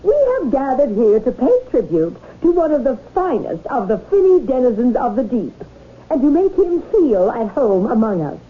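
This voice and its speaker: An actress playing an excitable, doting society wife in theatrical 1970s radio drama style. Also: female voice, on the high side, moderate pace. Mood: grandly ceremonious. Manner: theatrical, exclamatory. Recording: noisy, tinny 1970s radio recording.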